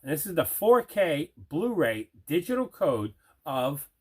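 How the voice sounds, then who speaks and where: Enthusiastic higher-voiced man hosting an unboxing. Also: very animated, a little slow, a little mellow, breathy edge. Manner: enthusiastic, repetitive emphasis. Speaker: host of a collecting unboxing video. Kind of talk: casual unboxing chat to camera.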